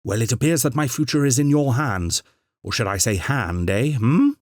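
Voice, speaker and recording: A man's voice: medium pitch, animated, normal speed, no room sound, clean studio sound, pitched above the performer's own voice, close to an everyday voice. A man voicing an eccentric male time traveller who exclaims, fusses and ends his questions with a hum. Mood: wry.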